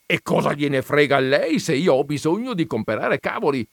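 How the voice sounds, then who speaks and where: A man's voice: on the high side, sing-song, normal speed, gravelly, dry recording, clean studio sound, pitched above the performer's own voice. A man putting on a cranky stubborn gent. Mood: exasperated. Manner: loud, indignant, argumentative. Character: cranky, absurdly stubborn man who shouts easily.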